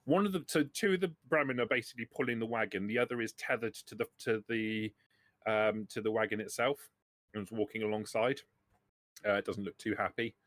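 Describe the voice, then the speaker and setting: A man running a tabletop roleplaying game as game master, narrating in a halting way. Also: on the low side, a bit bright, dry recording.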